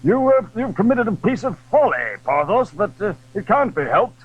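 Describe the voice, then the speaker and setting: An actor playing a cool, judicious musketeer resigned to danger in an audio drama of a classic adventure novel. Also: male voice, high voice, very animated, moderate pace, breathy edge, heavily acted. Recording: dull, lo-fi old audio drama recording.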